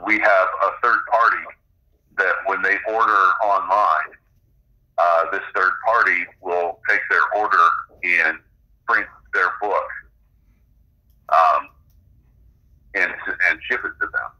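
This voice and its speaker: A man being interviewed as an author, explaining things conversationally with halting pauses over a dull, band-limited line. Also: sits low, bright, forceful tone.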